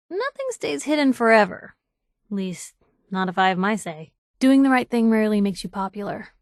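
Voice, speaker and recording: A woman's voice: on the high side, dry recording, studio quality, lightly acted. A woman playing a spirited, sardonic young reporter in a post-apocalyptic video game. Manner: sardonic, teasing, plucky. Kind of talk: video game companion dialogue.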